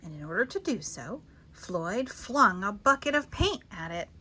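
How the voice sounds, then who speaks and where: A woman reading children's picture books aloud as a storyteller, theatrical and expressive with dramatic pauses and emphasis. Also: medium pitch.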